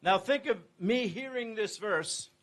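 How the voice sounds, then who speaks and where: An older man from England, preaching in short, measured phrases and waiting for each to be translated. His voice sits high and his delivery is slow and deliberate. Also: animated, a bit bright. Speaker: guest preacher. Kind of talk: sermon in English with interpreter.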